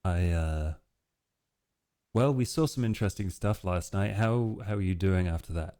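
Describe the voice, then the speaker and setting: A man's voice: low voice, normal speed, mellow, pitched below the performer's own voice, lightly acted. A man playing an earnest, casual young vampire with a dry, hesitant way of talking in a tabletop roleplay game. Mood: earnest, puzzled.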